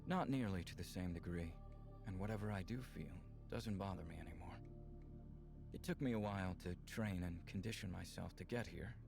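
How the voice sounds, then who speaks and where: A man playing a calm, experienced mentor in a visual novel, speaking in a measured, reassuring way with a gravelly voice. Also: deep voice. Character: calm, experienced man guiding his nervous partner. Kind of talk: visual novel character dialogue. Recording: music under the voice.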